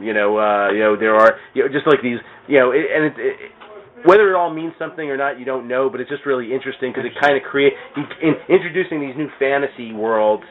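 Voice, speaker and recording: Halting man on a thin, phone-like recording. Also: medium pitch. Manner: halting, rambling, often repeats words. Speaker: podcast speaker discussing comics. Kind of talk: casual chat. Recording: thin phone-like radio sound.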